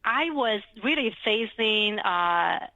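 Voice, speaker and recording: Bright-voiced Chinese-accented woman, dull recording. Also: mid-range voice, lively intonation, takes it slowly, no echo. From China. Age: middle-aged. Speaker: financial advisor being interviewed. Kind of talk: conversational interview, telling her career story.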